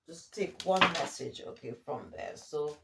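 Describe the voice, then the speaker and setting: A woman giving a spiritual card reading in a halting manner, often checking in with the listener as she reads and improvises. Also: low voice, big pitch swings, vocal fry, very noisy. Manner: hesitant, halting, often checking in with okay and all right. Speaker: oracle card reader and spiritual guide. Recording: roomy echo, distant from the mic.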